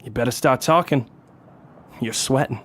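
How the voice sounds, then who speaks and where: A man's voice: mid-range voice, normal speed, a bit bright, lightly acted. A man playing a tough, sardonic police detective in a noir comedy audio drama, with a threatening edge. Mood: cool menace. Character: tough, wry hard-boiled male police detective. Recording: music behind the voice.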